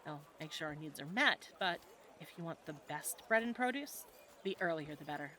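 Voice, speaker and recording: A woman playing a friendly, teasing medieval fantasy tavern local in an audio roleplay, talking in a conversational, gently instructive way to a newcomer. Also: sits low, animated, normal speed, noisy background. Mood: guiding. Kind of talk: audio roleplay acting, adventure ASMR.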